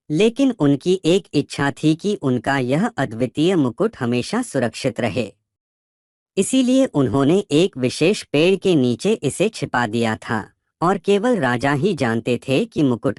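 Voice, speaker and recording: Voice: medium pitch, no echo, studio quality. A storyteller narrating a scripted moral tale for children.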